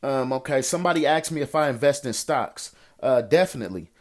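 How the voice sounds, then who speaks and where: An American man with an average-pitched voice talks as a financial coach on a group call. He answers questions informally in street slang, encouraging listeners and repeating phrases to drive a point home. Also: expressive, brisk. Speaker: host of a financial coaching call, investor and entrepreneur.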